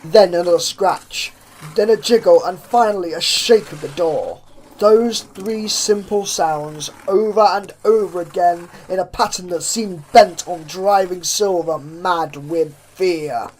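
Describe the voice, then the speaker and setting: A woman reading a horror story aloud as a narrator, with deliberate, theatrical emphasis. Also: fairly low pitch, darkish tone, rough recording. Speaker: narrator reading fan fiction.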